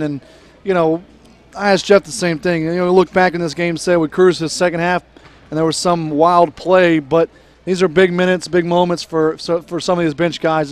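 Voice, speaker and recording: Higher-voiced broadcaster asking long questions. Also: male voice. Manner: conversational, long framing questions. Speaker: sports broadcaster. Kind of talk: postgame interview questions. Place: small studio booth with a logo backdrop. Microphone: headset mic.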